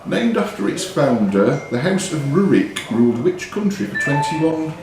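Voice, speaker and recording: A man hosting a quiz, reading questions deliberately and giving short, curt rulings on the answers. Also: on the high side, very animated, darkish tone, slight background noise. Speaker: quizmaster. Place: indoors in a pub. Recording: very echoey room with pub crowd. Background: clear crowd chatter.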